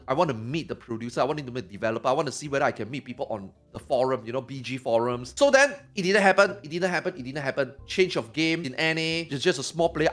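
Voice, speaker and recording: A man in his forties, a streamer, talking fast and excitedly in a casual podcast chat, often repeating himself. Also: mid-range voice. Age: 40s.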